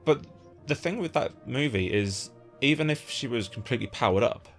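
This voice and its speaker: A man's voice: lively intonation, normal speed, a bit bright. A British man interviewing a guest in casual fan chat, blunt and informal, with some swearing. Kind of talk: casual chat between fans. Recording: low-budget recording with music underneath.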